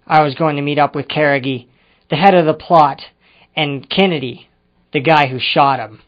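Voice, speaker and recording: An actor playing an evasive, touchy ex-convict in an old-time crime radio drama, speaking in a hesitant, defensive way. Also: male voice, fairly high pitch, moderate pace, lightly acted. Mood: nervous confessing. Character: evasive, touchy male ex-convict confessing to a detective. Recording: muffled amateur radio-drama recording with music.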